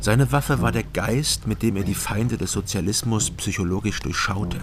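Man playing a low-voiced, laconic private detective. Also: normal speed, noisy background, lightly acted. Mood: dry. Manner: dry, matter-of-fact. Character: laconic male ex-policeman turned private detective, narrating. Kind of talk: scripted radio drama narration.

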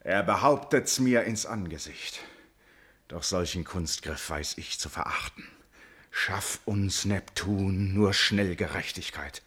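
An actor playing a wrathful, wounded royal father in a classical verse radio tragedy, declaiming solemnly and forcefully. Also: low voice, takes it slowly, husky, heavily acted. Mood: indignant.

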